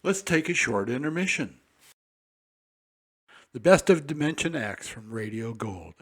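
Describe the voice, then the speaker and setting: A man's voice: medium pitch, lively intonation, a little slow, breathy edge. A man announcing as a radio host, reading a scripted intermission link.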